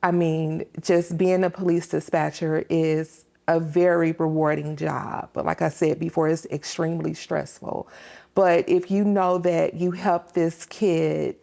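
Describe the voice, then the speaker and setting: A woman answering interview questions as a police dispatcher, explaining things conversationally with pauses to think. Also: low-pitched, flat delivery, gravelly, warm tone.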